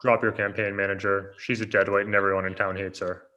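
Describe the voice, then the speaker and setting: Man as smug, low-voiced veteran politician. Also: level tone, quick pace, pushed and bright, dull audio. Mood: smug, folksy. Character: smug, folksy charming fifty-year-old male rival politician. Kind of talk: comedy script table read.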